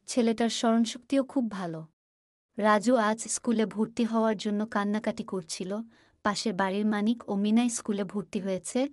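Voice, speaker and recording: A woman playing a worried, exasperated poor village mother in a cartoon, plaintive and quick to scold. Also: medium pitch, warm tone, no room sound, studio quality, natural voice.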